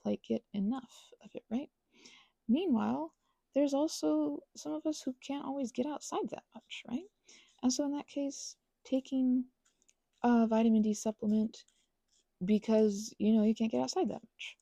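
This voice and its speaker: Soft, creaky woman rambling whimsically. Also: high-pitched, dry recording. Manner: whimsical, self-interrupting, chatting to herself and objects. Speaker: craft and ASMR video creator. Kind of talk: rambling casual chat while crafting.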